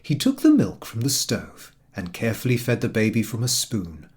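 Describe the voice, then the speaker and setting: A man reads a storybook aloud in a low, husky voice. He takes his time and lets the pitch rise and fall widely, giving the narration a dramatic, expressive shape. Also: normal speed.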